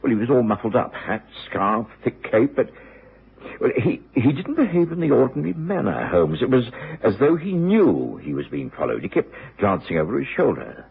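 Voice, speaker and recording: An actor playing a loyal middle-aged British gentleman doctor, telling the story earnestly and formally in old radio drama style. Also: mid-range voice, sing-song, moderate pace, gravelly, slightly rough, full character voice. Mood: eager, puzzled. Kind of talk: 1950s-60s radio drama acting and narration. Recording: muffled, noisy old radio recording.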